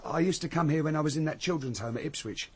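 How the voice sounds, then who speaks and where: An actor playing a brisk, commanding young man on the run in a radio drama. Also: on the high side, slightly rough. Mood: matter-of-fact.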